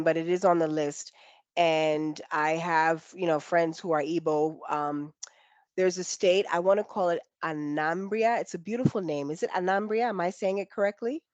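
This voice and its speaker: A woman hosting a casual interview, chatting in an animated way, often restarting her sentences and teasing. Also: on the low side, moderate pace, no echo. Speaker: interview host.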